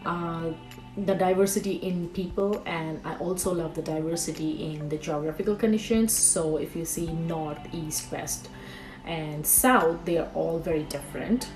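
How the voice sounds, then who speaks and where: Bengali-born woman, chatty, low voice. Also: normal speed, music in the background, rough recording. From India, Bengal. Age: 30s. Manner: chatty, many fillers and restarts, reads questions aloud. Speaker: YouTuber. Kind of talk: casual tag video vlog.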